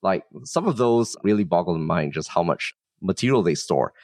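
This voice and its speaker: A man from Singapore speaks in a low-pitched voice with very little room sound. He explains things as a founder being interviewed, in a casual, unassuming way, sprinkling in conversational fillers. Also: lively intonation, moderate pace, a little mellow, dry recording, studio quality. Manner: modest, explanatory, with frequent "like" and "you know" hedging. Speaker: startup founder, guest.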